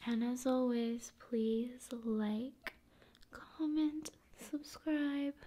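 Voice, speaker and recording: A woman speaking in a soft, breathy, often whispery voice, chatting gently to viewers in an ASMR style. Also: high-pitched, very slow. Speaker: ASMR video creator and host.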